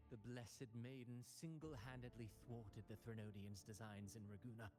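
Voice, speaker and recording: A scheming, self-satisfied villain of a video game voiced by a man speaks like a playwright in love with tragedy. His delivery is theatrical and poetic, with a drawl at middling pitch, set over prominent music. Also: lightly acted. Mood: savoring, mock-sorrowful. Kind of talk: scripted video game voice acting. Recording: music playing behind the voice.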